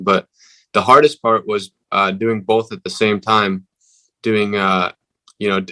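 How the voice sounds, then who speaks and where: A young male musician with a deep voice, answering interview questions haltingly with many fillers. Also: a bit bright, no room sound. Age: young adult. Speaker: musician guest.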